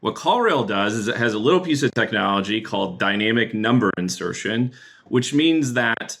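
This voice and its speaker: A man explaining a business software product as a podcast guest, talking in a relaxed way with many fillers. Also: fairly low pitch, moderate pace, creaky. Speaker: guest, chief product officer.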